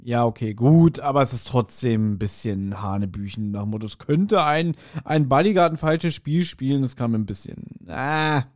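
A man co-hosting a podcast in casual chat, telling things like an animated storyteller. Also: mid-range voice, moderate pace, few pauses. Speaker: podcast co-host. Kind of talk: casual chat, speculating about an audio drama episode.

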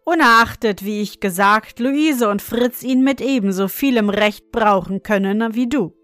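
A storybook father, firm and admonishing, measured in his judgment, as a woman reads him in her own slightly raised voice. Dry and close, with a slow reading pace. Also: high voice, fairly bright tone, no room sound, faint music behind. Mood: stern. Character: firm, fair-minded father.

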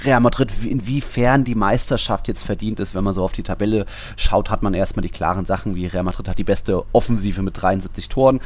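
Low-pitched male sports podcast host. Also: brisk, hardly any pauses, a little mellow. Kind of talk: sports podcast talk, running through statistics.

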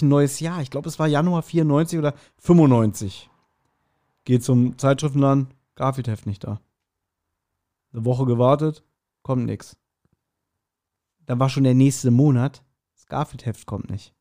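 Man in his forties, fairly high voice, casual podcast chat, nostalgic, animated, self-deprecating. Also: normal speed, pauses often. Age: 40s. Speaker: podcast co-host.

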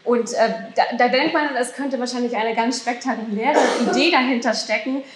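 Young female podcast host, chatty, slightly high voice, echoey venue. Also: moderate pace, slight background noise. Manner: chatty, laughs often. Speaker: podcast host, assistant director. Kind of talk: live stage talk. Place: theater restaurant, indoors. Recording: echoey room.

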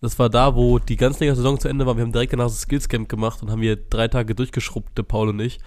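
A young man hosting a podcast with a blocked-up nose, relaxed and teasing in casual chat. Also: medium pitch, quick pace. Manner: relaxed, teasing, says "Alter" often. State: stuffy nose. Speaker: podcast host.

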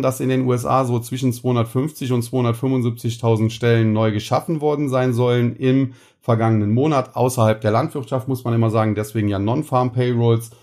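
A man's voice: mid-range voice, a little mellow. A man with a cold, hosting a podcast and explaining stock market news casually, with frequent filler sounds. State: has a cold. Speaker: podcast host.

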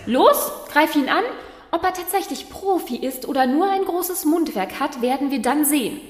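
A young fairy-tale princess speaks high and self-assured, with a bossy, imperious edge. It sounds like a children's radio play, set in a long, echoing reverb. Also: female voice, animated, normal speed. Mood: commanding. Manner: imperious, insistent. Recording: echoey, long reverberant space.

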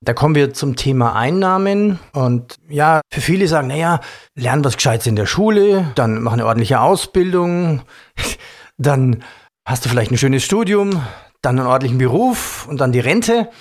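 A man's voice: medium pitch, expressive, normal speed. A man hosting an interview, recapping points and asking questions in an informal, colloquial way. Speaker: interview host.

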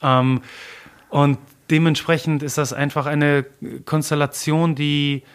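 A man whose first language is Polish, talking in a personal interview in an earnest, emotional way, pausing often with fillers and repeating words as he searches for them. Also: on the high side, little pitch movement, smooth. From Germany. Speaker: interview guest.

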